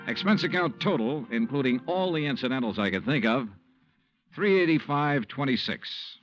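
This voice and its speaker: An actor with an American accent playing a wry, tough insurance investigator in a 1950s radio drama. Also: male voice, mid-range voice, expressive, moderate pace, lightly acted. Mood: wry, matter-of-fact. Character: wry, tough male insurance investigator narrating his case. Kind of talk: 1950s radio noir acting, first-person narration. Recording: muffled vintage radio recording, music behind.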